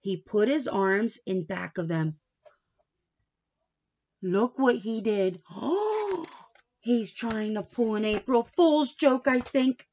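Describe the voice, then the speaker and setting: A woman reading a picture book aloud to young children like a teacher, playful and expressive, with asides, questions and some Spanish words mixed in. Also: fairly high pitch, takes it slowly, long pauses, lo-fi sound. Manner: playful, expressive, with gasps and exaggerated reactions; drops Spanish words in and translates them.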